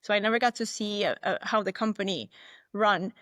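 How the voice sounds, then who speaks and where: A woman's voice: medium pitch, brisk, a bit bright, dry recording. A woman whose first language is not English, a guest telling her story in a candid, thoughtful way, often restarting and quoting herself. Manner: candid, thoughtful, frequent restarts and self-quotes. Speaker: interview guest. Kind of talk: reflective conversational storytelling.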